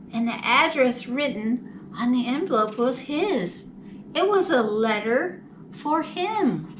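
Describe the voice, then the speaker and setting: Lady doing kids storytime, high bright voice, slow and expressive, bit far from the mic. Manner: deliberate, animated, drawn-out phrasing. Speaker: children's storytime host reading a picture book. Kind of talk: slow expressive storybook narration for young children. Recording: distant mic, faint background noise.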